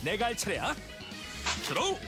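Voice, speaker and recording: A woman's voice: medium pitch, huge pitch swings, racing. A woman voicing a brash, combative young duelist in an anime card game, with cocky, punchy battle declarations. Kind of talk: animated game voice acting. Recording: game audio under loud music.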